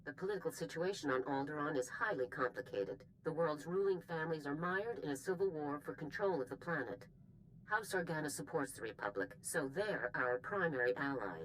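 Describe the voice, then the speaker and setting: Low-voiced, stern female general. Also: expressive, brisk, slight background noise, poor audio. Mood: businesslike briefing. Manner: formal, authoritative, clipped. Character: stern, brisk female military general briefing a soldier. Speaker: commanding officer. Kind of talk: scripted video game mission briefing.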